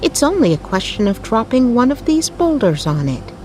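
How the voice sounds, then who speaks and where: Storybook young lady, played by a female narrator: lifted pitch, determined, coldly cheerful, brisk, noticeable noise behind. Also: sing-song, a little mellow. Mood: coolly resolved. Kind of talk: storybook character voice.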